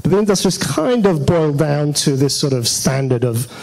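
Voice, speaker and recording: A man's voice: a little high, expressive, moderate pace, creaky, darkish tone. A man giving an informal technical presentation as a conference speaker, talking in a conversational, hesitant way. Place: auditorium stage at a meetup.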